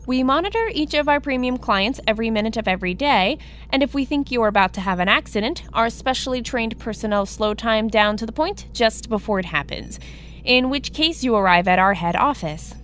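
An actress playing an unruffled, businesslike insurance receptionist, patiently explaining in a comedy audio drama. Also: female voice, a little high, animated, fairly quick, fairly bright tone, slight background noise. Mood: brisk, composed. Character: brisk, unruffled female insurance office receptionist.

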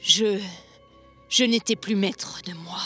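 A woman's voice: medium pitch, slow, bright, forceful tone, slightly rough, faint music behind, heavily acted. Woman playing a sinister, theatrical messenger priest. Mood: dramatic confession. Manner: ominous, persuasive, theatrical. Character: sinister preaching messenger priest, grave man warning of plague.

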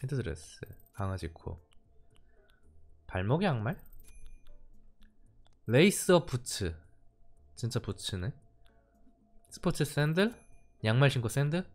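A man's voice: medium pitch, very animated, slow, pauses often. A young man casually commenting while playing a cozy life game, playful and reactive, chatting to his viewers. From standard-Korean-speaking region of Korea. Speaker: game streamer.

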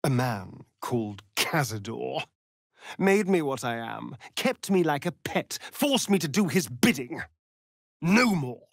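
An actor playing a vain, flirtatious, sardonic male vampire in a video game, with an arch, theatrical, breathy delivery. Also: medium pitch, very animated, takes it slowly, no echo, studio quality, full character voice. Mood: brooding, sly. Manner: theatrical, arch, drawling, swinging into breathy murmurs. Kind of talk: dramatic video game voice acting.

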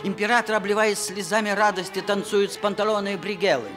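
An actor playing the storyteller of a fairy-tale radio play, narrating in a measured, theatrical way. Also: male voice, high-pitched, steady tone, music in the background, lightly acted. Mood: storytelling.

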